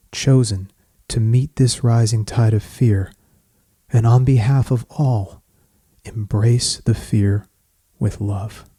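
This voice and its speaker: Male meditation guide: very slow, even delivery, soothing and solemn, with long pauses. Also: on the low side, no echo. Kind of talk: guided meditation, scripted poetic narration.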